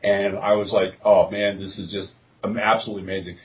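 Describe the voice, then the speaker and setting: A man's voice: on the low side, flat delivery, brisk. A man hosting a podcast in casual, emphatic chat, gushing and repeating himself for stress. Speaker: podcast host. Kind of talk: casual enthusiastic chat about TV. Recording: distant mic, dull lo-fi sound.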